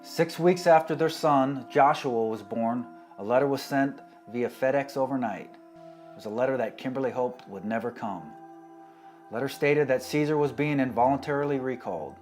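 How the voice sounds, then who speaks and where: A man narrating a documentary in a solemn, measured way, his voice dropping quietly at the end of sentences. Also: medium pitch, soft background music. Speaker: documentary narrator, fellow Marine veteran.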